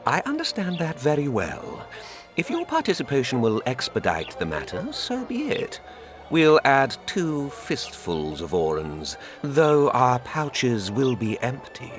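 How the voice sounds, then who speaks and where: A testy merchant from a fantasy game, his pitch swinging dramatically. He haggles impatiently and dismissively over clearly audible music. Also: wildly swooping pitch, unhurried. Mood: grudging, haggling. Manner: theatrical, irritable outbursts. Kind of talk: fantasy video game dialogue.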